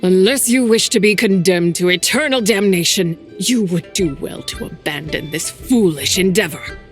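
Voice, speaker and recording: A woman's voice: medium pitch, moderate pace, faint music behind, natural voice. A woman voicing an imperious, menacing otherworldly spirit in a video game, grave and commanding in archaic speech. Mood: cold, threatening.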